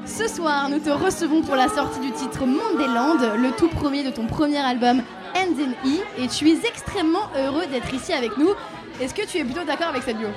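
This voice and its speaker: Female radio host, high voice, playful teasing intros, audience noise in the background. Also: fairly quick, music in the background, crowd noise. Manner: playful, teasing delivery, laughing between lines.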